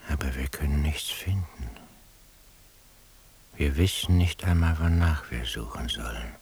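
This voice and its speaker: An actor playing an obsessive, troubled surveillance listener who doubts himself, ruminating in a breathy, half-whispered radio drama monologue. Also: male voice, extremely low pitch, measured pace, a little mellow, heavily acted. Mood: uneasy searching. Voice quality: very husky, breathy.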